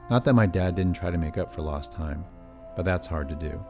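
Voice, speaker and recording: Very deep-voiced man narrating wryly. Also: a little fast, music in the background. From California. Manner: wry, self-deprecating asides. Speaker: narrator of his own family story. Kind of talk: scripted personal documentary narration.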